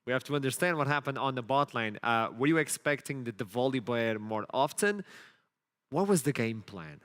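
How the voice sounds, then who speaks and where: This is a man hosting a live esports analysis desk. He speaks at an ordinary mid pitch with lively energy. He tends to repeat a word or two when he launches into a question. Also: moderate pace, smooth. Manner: lively, repeats words when starting sentences. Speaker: esports broadcast host.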